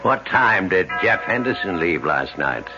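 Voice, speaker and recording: A man's voice: deep voice, very animated, unhurried, music in the background. An actor playing a stern, self-righteous widowed father, older, scolding and pompous, in a vintage radio drama. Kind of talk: 1970s radio drama acting. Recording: lo-fi vintage radio broadcast with hiss.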